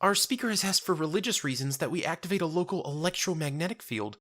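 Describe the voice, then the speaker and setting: A man voicing an earnest, gentle young church woman in a soft, lilting, formally polite way for an audio story. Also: on the high side, lively intonation, fast, pitched above the performer's own voice. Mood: sweetly earnest. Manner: formal, quaintly polite speech.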